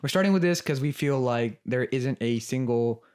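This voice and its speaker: A young man co-hosting a casual podcast, talking in a relaxed way with many fillers. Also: medium pitch, moderate pace, clean tone. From United States. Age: young adult. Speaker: podcast co-host.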